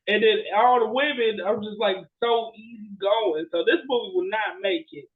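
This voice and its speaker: Male podcast co-host, high voice, rambling and animated, casual conversational delivery. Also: normal speed, narrow, tinny audio. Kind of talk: casual chat about bad movies.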